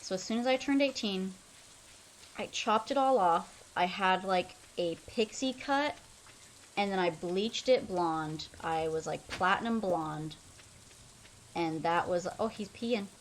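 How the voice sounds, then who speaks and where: A woman's voice: mid-range voice, slow, a little hiss or hum, poor audio. A young woman streaming a game, chatting casually in a loose, rambling way full of fillers. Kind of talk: casual chat while playing a game.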